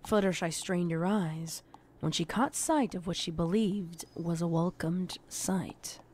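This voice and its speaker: A woman narrating a scripted horror story in a measured, dramatic storytelling manner. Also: fairly low pitch, a little mellow, breathy edge.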